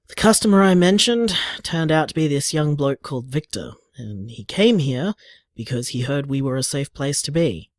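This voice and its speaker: A man's voice: a little high, no echo, studio quality. A man playing an affectionate, playful young bar owner, chatting casually as if leaving voicemails in an audio drama. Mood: concerned.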